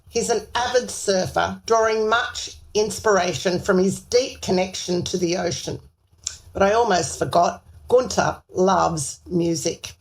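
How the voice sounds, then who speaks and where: A woman's voice: medium pitch, poor audio. A woman host reads a guest introduction in an upbeat, formal manner. Her voice sits at middle height for a woman, and faint music plays behind her. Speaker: podcast host. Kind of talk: scripted guest introduction and questions.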